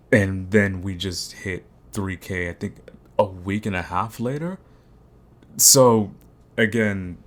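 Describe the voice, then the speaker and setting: Male channel host: low and croaky, informal, rambling chat straight to listeners. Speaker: audio roleplay creator, channel host. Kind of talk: casual rambling channel update.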